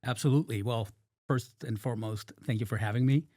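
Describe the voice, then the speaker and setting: Measured man from Argentina, mellow voice. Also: medium pitch, no echo, clean studio sound. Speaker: startup founder and guest. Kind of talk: interview answers.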